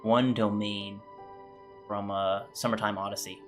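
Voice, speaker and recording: A man's voice: fairly low pitch, flat delivery, a little slow. A man giving casual, laid-back let's play commentary as a gaming streamer. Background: game music.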